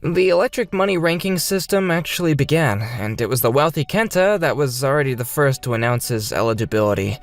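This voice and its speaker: A woman's voice: a bass voice, expressive, fairly quick, few pauses, faint music behind. A woman voicing an earnest, bewildered fifth-grade boy who narrates his own story in a manga dub. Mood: resigned. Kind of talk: scripted first-person narration in a manga dub.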